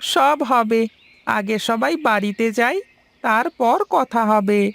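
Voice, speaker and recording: A woman's voice: on the high side, animated, slow pace, vocal fry, dry recording, heavily acted. A woman voicing a humble, devoted young village son in a fairy-tale cartoon, earnest and respectful. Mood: reassuring.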